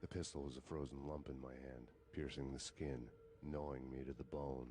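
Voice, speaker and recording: An actor playing a brooding hard-boiled avenger with an American accent, narrating his noir story in a grim, measured deadpan. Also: very deep voice, a little hiss or hum. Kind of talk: scripted noir game narration. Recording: music behind the voice.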